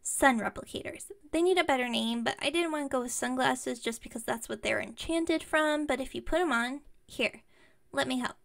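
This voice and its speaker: A woman's voice: high-pitched, normal speed, vocal fry, a bit bright. A woman playing an enthusiastic, scatterbrained young witch shopkeeper in an ASMR roleplay, chatting and rambling to the listener. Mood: friendly, rambling. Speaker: voice actor in audio roleplay. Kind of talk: ASMR roleplay, one-sided conversation with listener.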